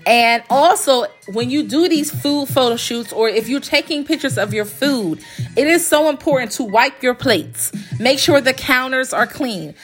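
A woman's voice: high voice, normal speed, fairly bright tone, soft background music. A woman hosting a casual podcast, sounding congested as she recovers from illness, chatty and emphatic, repeating phrases for stress. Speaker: podcast host, graphic design company owner. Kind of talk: casual solo podcast talk.

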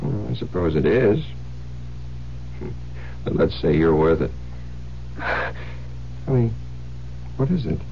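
A sardonic American husband with a very low, creaky voice. He sweet-talks his bride with teasing menace in an old radio thriller. The sound is dull, with a noisy hum. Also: big pitch swings, slow, pauses often, heavily acted. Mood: coaxing. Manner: smooth-talking, teasing, faintly menacing. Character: sardonic murderous husband posing as loving newlywed. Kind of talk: radio drama acting.

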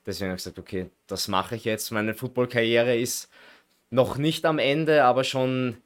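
A man's voice: mid-range voice, fairly even intonation, moderate pace. A man in his late twenties from Vienna with a Viennese accent, chatting casually as an interview guest. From Vienna, Austria. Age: late 20s. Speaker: American football player, interview guest. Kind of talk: casual chat.

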